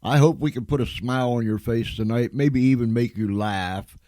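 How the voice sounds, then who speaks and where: A man's voice: mid-range voice, normal speed, mellow, dry recording, clean studio sound. A man hosting an informal comic radio show, with a folksy, joking, chuckling way of talking. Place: radio studio.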